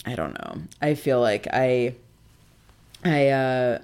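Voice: medium pitch, sing-song, takes it slowly, a little mellow. An American co-host chatting casually and unscripted about television, speaking in a hesitant, rambling way peppered with "like". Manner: hesitant, rambling, heavy use of "like". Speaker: co-host of a TV discussion show.

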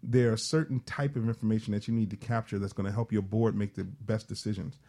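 Male panelist, explanatory and businesslike, rapid delivery, soft-edged mellow tone. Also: on the low side, steady tone. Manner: explanatory, uses business jargon. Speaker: panel guest advising on nonprofit management. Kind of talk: conversational panel discussion.

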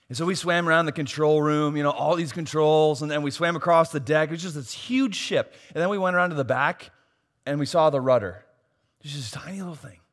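A pastor preaching a sermon, losing his voice and swinging from soft asides to loud, emphatic points. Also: mid-range voice. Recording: long hall reverb.

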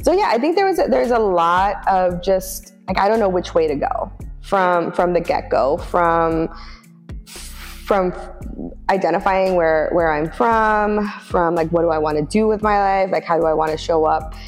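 A woman's voice: fairly low pitch, lively intonation, moderate pace, long reverb tail, faint music behind. A woman from Oakland, California, talking as a podcast guest in a reflective way, with many fillers and restarts and easy laughs. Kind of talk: casual podcast conversation.

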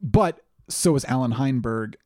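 A man's voice: medium pitch, lively intonation, a little slow, darkish tone, dry recording, studio quality. A man co-hosting a podcast in casual chat, emphatic and heavily profane. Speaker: podcast co-host.

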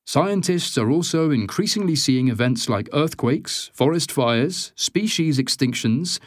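Guy narrating a nonfiction audiobook, lots of pitch movement, very dry close sound, articulate. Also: lively intonation, unhurried, no echo.

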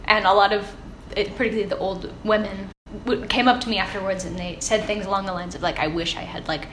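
Young woman from Texas, interviewer, relaxed and casual, roomy recording with a faint hum. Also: mid-range voice, quick pace. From San Antonio, Texas. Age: young adult. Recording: roomy with faint ambient noise.

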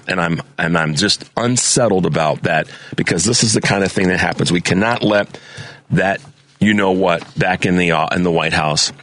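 Fervent deep-voiced radio host. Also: male voice, fairly bright tone, no room sound. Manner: fervent, preachy, repeats words when stirred. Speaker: radio talk show host. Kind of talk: impassioned political talk radio monologue. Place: radio studio. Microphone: studio mic.

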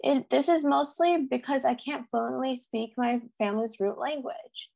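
A woman's voice: high voice, fairly even intonation, normal speed, gravelly, a little mellow. A teenage young woman with an American accent, co-hosting a youth podcast and reading from a prepared script. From United States.

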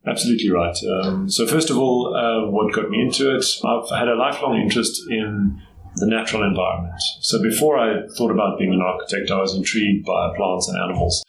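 A middle-aged man with a South African accent, answering interview questions in a thoughtful, measured way with pauses to choose his words. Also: on the low side, creaky. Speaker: guest. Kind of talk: interview conversation. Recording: echoey room, faint background noise.

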